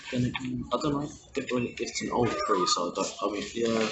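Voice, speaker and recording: A man's voice: medium pitch, lively intonation, fairly quick, crowd noise, low-quality recording. A young man chatting casually in a student group, recorded with noise and room ambience. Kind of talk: casual chat.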